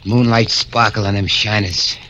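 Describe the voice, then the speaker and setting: A man's voice: on the low side, fairly even intonation, moderate pace, bright, forceful tone, dull audio, slight background noise. An actor playing a hardened male crook in a 1940s radio drama, talking in a clipped, menacing tough-guy way. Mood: brooding.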